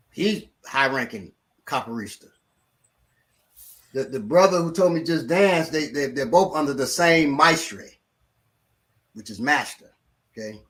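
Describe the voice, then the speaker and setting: A middle-aged man, a martial arts instructor, telling a story in casual talk and acting out what others said. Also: a little high. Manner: animated, acts out quotes of others.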